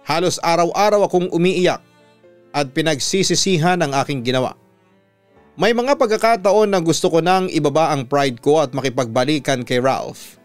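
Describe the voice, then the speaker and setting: A Filipino man with a radio announcer's delivery, reading a scripted first-person story in a measured, earnest way. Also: fairly high pitch, no room sound.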